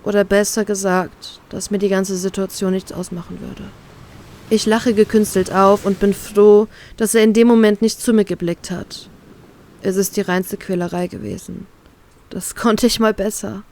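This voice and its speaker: A woman's voice: mid-range voice, flat delivery, moderate pace, darkish tone. A woman reading a first-person fan fiction story aloud, voicing a heartbroken inner monologue.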